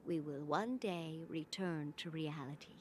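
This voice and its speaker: A woman voicing a kind old woman who looks after orphaned children, speaking gently and reassuringly. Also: deep voice, unhurried, a little hiss or hum. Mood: gentle. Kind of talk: game voice acting.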